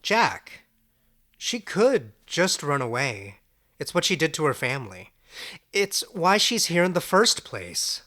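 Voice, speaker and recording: Audio drama role: hot-headed, sarcastic lover, blunt and teasing, unhurried pace, forceful bright tone. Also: mid-range voice, sing-song. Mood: doubtful, worried. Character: hot-headed, sardonic, foul-mouthed lover of an occultist.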